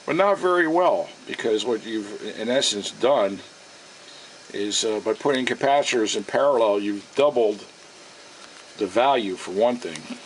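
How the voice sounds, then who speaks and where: A man with a fairly low voice talks through a repair in a casual, folksy way. He is unhurried and thinks aloud as he goes. The camera microphone in his shop picks up a light layer of background noise. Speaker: radio repair vlogger. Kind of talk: casual narrated repair demonstration. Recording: steady noisy camera sound in workshop.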